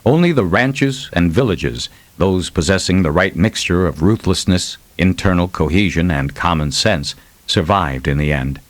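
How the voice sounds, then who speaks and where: A man's voice: sits low, lively intonation, moderate pace, dry recording. A man narrating fiction as a scripted, dramatic audiobook reading.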